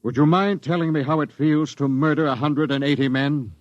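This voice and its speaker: A man's voice: fairly high pitch, fairly even intonation, no room sound, close to an everyday voice. A man playing a grim doomed space captain. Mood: bitter. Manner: grave, measured narration turning sharp and bitter. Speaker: expedition captain narrating. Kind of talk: 1950s radio drama acting. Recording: muffled old radio broadcast with music.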